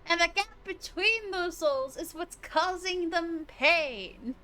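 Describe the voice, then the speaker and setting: A woman's voice: very high voice, takes it slowly, sharp tone. A woman livestreamer giving casual live game commentary, gushing and giggly as she thinks aloud.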